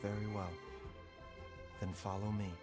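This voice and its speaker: A man voicing a calm, measured doctor in a video game, speaking formally and precisely. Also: fairly low pitch, over music, lightly acted. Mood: solemn.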